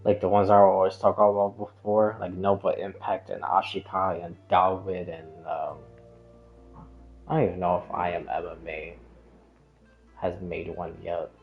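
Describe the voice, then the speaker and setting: A man's voice: low-pitched, level tone, moderate pace, phone-quality sound. A man chatting casually as a game streamer, rambling and hesitant, often trailing off and drifting onto tangents. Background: background music.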